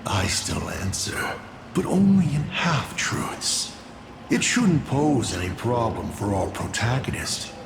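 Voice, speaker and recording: A man's voice: on the low side, sing-song, slow, gravelly, background noise, exaggerated voice. An actor playing a cunning, grandiose villain lord in a video game, teasing and theatrical in his delivery. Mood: sly, confiding. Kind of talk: dramatic video game voice acting. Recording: echoing voice with music underneath. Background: music.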